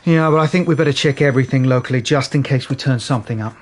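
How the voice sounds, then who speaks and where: An actor playing a matter-of-fact, world-weary police detective in a naturalistic radio drama, casual and pragmatic. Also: male voice, fast talker, faint music behind, lightly acted. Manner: casual, pragmatic, low-key.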